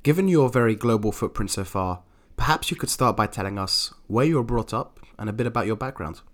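A man's voice: medium pitch, expressive, brisk. A male podcast host giving polished, formal introductions and asking questions.

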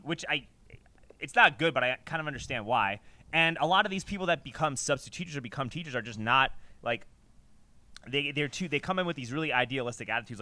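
Fast, bright-voiced man rambling emphatically. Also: medium pitch, dry recording. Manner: rambling, emphatic, slangy and profane. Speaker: podcast host. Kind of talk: casual chat, conversational storytelling.

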